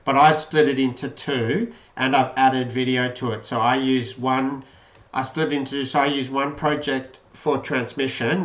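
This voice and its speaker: A man's voice: medium pitch, a bit bright. Man explaining code in an echoey room. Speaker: presenter of an electronics project tutorial. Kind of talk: informal code walkthrough, unscripted. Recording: roomy, echoey voice pickup.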